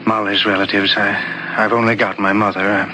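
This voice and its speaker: A man's voice: on the low side, level tone, lightly acted. An actor in a 1970s radio drama playing a mild man who speaks hesitantly. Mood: stunned, subdued. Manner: hesitant, halting. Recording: noisy 1970s radio recording.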